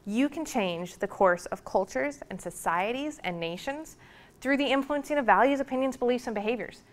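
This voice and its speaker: A woman's voice: fairly high pitch, moderate pace. A woman lecturer speaking to her students, earnest and encouraging, running through lists and questions to make her point. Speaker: public relations lecturer. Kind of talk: classroom lecture.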